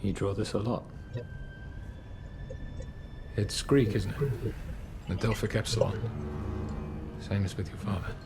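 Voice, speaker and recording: A calm male police sergeant, acted by a man speaks in a lowish voice. He leads an investigation in a naturalistic, film-like game, with music playing clearly behind him. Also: moderate pace, close to an everyday voice. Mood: measured, probing. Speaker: actor in a story game.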